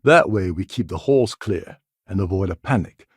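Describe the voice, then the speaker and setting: An actor playing a weary, wry older space pirate posing as a ship captain in a video game, gravelly and husky, with a sardonic, conspiratorial manner. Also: male voice, deep voice, animated, normal speed, dry recording, studio quality. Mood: wry, guarded. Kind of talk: video game character dialogue.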